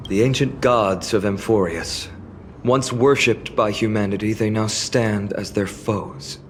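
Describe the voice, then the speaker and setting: A young man voicing a polite, earnest young warrior guide in a video game, explaining in a formal, measured way. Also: fairly low pitch, steady tone, noisy background, close to an everyday voice. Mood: solemn, courteous. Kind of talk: scripted video game dialogue. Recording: orchestral game music behind the voice.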